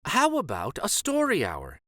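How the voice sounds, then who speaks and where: A man voicing a sweet, gentle woman schoolteacher in a children's storybook. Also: high-pitched, huge pitch swings, unhurried, no room sound, clean studio sound, voice pushed up, heavily acted. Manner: sweet-voiced.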